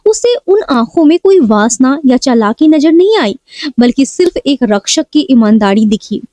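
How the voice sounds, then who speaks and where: A woman tells a scripted dramatic story in a high voice. The tone is mellow and rounded rather than sharp. The sound is dry and close, with no room around it. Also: very animated, moderate pace, dry recording.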